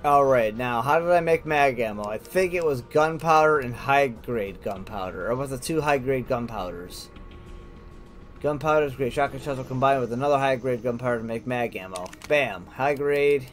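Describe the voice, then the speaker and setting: A man's voice: mid-range voice, animated, normal speed, faint music behind. A man giving casual, informal gameplay commentary as a let's play host, thinking aloud and reacting as he goes.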